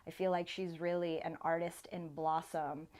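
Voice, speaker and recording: A woman's voice: sits low, little pitch movement, normal speed, a little mellow. Woman hosting a laid-back interview show, chatty and informal, kinda effusive. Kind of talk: casual conversational interviewing.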